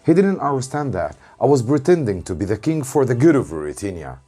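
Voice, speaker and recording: An Arabic-speaking man, an English teacher, reads a storybook aloud for students in deliberate short phrases with pauses. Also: medium pitch, big pitch swings, dark tone, faint music behind. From Egypt. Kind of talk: narrated storybook reading for students.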